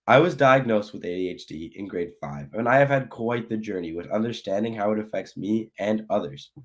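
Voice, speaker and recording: A young man with a croaky voice from losing it, giving a personal talk as a guest speaker in a presentation. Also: lowish voice, brisk. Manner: earnest, apologetic, acts out his own thoughts. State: hoarse, losing his voice.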